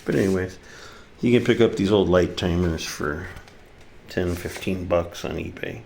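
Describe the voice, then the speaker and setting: A man's voice: low voice, moderate pace, gravelly, a little mellow, slight background noise. A man giving a casual, hands-on repair demonstration, talking through each step in a folksy, informal way. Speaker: repair video presenter. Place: kitchen, indoors.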